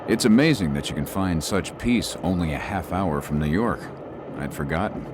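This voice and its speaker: A man's voice: low voice, lively intonation, noisy background. A man playing a weary young lawyer. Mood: reflective. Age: young adult. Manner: reflective, measured. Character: young male lawyer with a broken leg, weary. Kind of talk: first-person audio drama narration.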